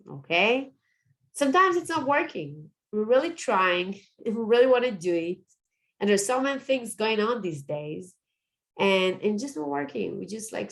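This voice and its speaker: A woman, a Hebrew speaker, presents on forming habits in a coaching webinar. She talks earnestly, repeats words and checks in with her listeners as she goes, in a voice of middling pitch. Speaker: positive psychology coach.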